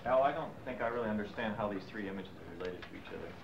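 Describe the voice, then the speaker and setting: A man in an informal work discussion, questioning and halting, picked up in a room. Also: lowish voice, vocal fry, lo-fi sound. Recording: echoey, noisy, distant room pickup.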